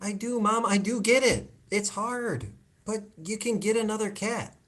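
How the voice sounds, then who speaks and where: A man's voice: high voice, measured pace, a bit bright. A man playing a concerned, exasperated grown son coaxing his mother, in a live play reading over a video call.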